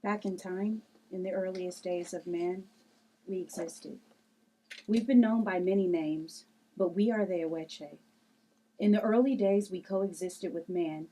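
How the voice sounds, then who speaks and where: A woman's voice: fairly low pitch, a little slow, dark tone, rough recording. A woman playing a grave mother who tells old history in a table read of a drama script. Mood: solemn. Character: grave mother recounting an ancient people's history.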